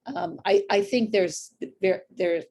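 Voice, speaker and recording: An American woman from Idaho talking casually as an author in an interview, in a halting, rambling way. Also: medium pitch, expressive, gravelly, darkish tone. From Idaho, United States.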